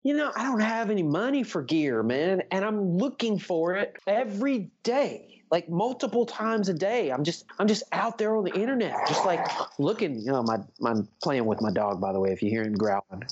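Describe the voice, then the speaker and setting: A man's voice: sits high, lively intonation, moderate pace, husky. A laid-back man in his fifties talks in long, looping sentences, dropping "man" again and again. He chats casually from home. The recording sounds a little dull and muffled. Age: 50s. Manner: laid-back, rambling, says "man" a lot. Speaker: podcast co-host, drummer. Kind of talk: casual chat.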